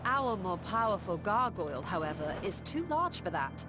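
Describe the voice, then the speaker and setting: A woman's voice: on the low side, big pitch swings, moderate pace, over music. A woman playing a scholarly, confident mage in a video game, explaining things in formal, articulate diction. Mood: measured, explanatory. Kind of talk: scripted video game dialogue.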